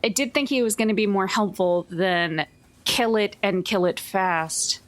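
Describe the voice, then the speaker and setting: A woman's voice: mid-range voice, unhurried. A woman playing a tabletop roleplaying game, chatting casually and thinking things through with wry humor. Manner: wry, reasoning aloud. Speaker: player. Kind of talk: casual tabletop actual-play chat.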